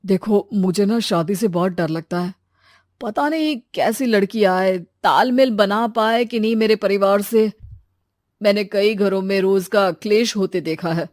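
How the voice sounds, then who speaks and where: An earnest young man voiced by a woman storyteller, a reasonable suitor in a family tale. He speaks in a measured way, in a dry recording. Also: medium pitch, a little mellow, no room sound, lightly acted. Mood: hesitant.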